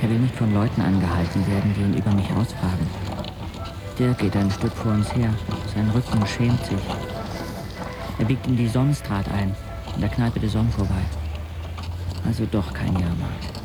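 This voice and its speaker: Gravelly, sardonic teenage narrator played by a man. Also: lowish voice, steady tone, moderate pace, pauses often, over loud music, lightly acted. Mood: dry, sardonic. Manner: dry, laconic, clipped phrases. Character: sardonic paralysed fourteen-year-old boy narrating his own life. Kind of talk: intimate first-person radio drama narration.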